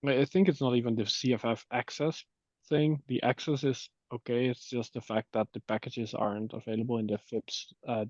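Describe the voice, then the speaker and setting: A man talking through an issue in a work meeting on an online call, with halting, hesitant phrasing. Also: mid-range voice, darkish tone, no echo. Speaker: committee member in a technical meeting. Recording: muffled, dull call audio.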